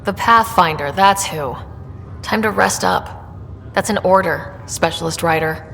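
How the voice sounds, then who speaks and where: A woman's voice: on the low side, a little slow, vocal fry, a bit bright, in a large hall, a little hiss or hum. An actress playing a resolute young woman who leads space explorers in a video game. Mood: fond, teasing. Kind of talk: video game dialogue acting.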